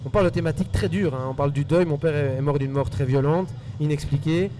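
A man with a Belgian French accent whose first language is Spanish, earnestly answering interview questions in quick rushes with repeated words. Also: on the high side, distant mic, noisy background. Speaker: musician guest.

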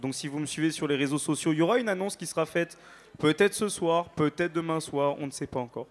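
A man with a slightly high voice speaks as a singer being interviewed on stage. His talk is casual, informal and playful. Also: fast.

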